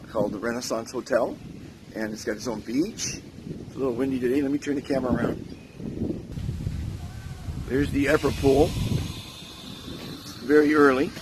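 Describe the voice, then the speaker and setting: A man talking casually and enthusiastically to his camera outdoors, his voice going hoarse from overuse. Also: mid-range voice, noisy background. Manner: upbeat, enthusiastic. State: losing his voice. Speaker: vlogger. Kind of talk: casual vlog chat. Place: outdoors at a resort, windy.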